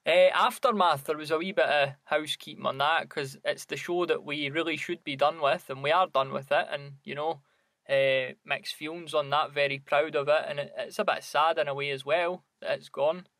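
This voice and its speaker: Man with a higher-pitched voice. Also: steady tone, normal speed, fairly bright tone, no echo. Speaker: podcast co-host. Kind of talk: casual podcast chat.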